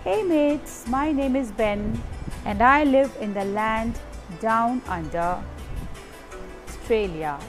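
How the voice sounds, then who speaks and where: A woman's voice: a little high, lively intonation, takes it slowly, faint music behind. A woman reading out a cheerful boy from a children's textbook, in a friendly, upbeat way.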